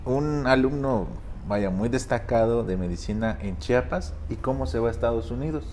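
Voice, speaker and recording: A man's voice: medium pitch, moderate pace, a little mellow, a little hiss or hum. A man hosting an interview, asking short prompting questions. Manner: brief prompting questions and backchannels. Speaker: interviewer, host.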